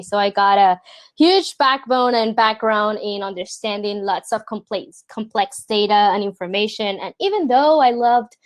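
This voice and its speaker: A woman from Puerto Rico, a native Spanish speaker with a Puerto Rican accent, hosting an informal online presentation in a chatty way. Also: a little high. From San Juan, Puerto Rico. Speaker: host, product designer reviewing a portfolio. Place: remote video call.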